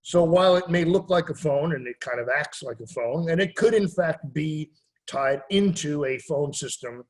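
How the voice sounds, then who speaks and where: A man's voice: a little high, moderate pace. A man giving a casual product demonstration as an expert, conversational and plain-spoken.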